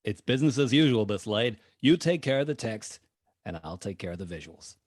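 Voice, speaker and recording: Casting: man as calm, practical sidekick, low voice, dry close recording, amateur table read. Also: fairly quick, no echo. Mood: businesslike.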